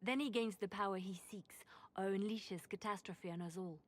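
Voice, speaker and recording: An actress playing a somber, guarded spymaster burdened by duty in a video game, speaking gravely and quietly. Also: female voice, mid-range voice, normal speed, lightly acted. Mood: grave, resolute.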